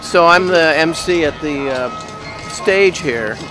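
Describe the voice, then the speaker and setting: A man chatting casually outdoors as an MC and local show personality, rambling and joking in a halting way. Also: on the high side, animated, over music. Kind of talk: casual interview chat outdoors. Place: outdoors at a park gravesite.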